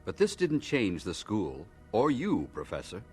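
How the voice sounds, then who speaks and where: A man narrating a radio drama as a solemn storyteller, measured and dramatic, addressing the hero directly. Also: on the low side, wildly swooping pitch, over music.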